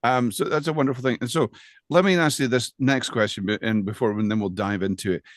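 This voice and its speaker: A man's voice: medium pitch, fast, no room sound, studio quality. A man in a casual interview, speaking as an agreeable, affirming co-host.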